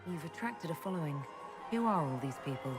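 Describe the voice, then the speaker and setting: A woman's voice: low-pitched, expressive, a little fast. A woman playing a composed, wry female leader in a dramatic video game, with a dry delivery. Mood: teasing. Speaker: military-religious leader. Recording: reverberant hall with music behind.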